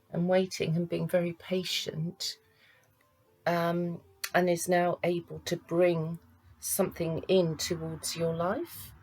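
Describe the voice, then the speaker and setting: A woman reads cards as a psychic medium. She speaks unhurriedly, with a slightly low voice. She pauses often, as if searching for each impression. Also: mellow, slightly rough, rough recording. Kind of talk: monthly astrology and card reading to camera.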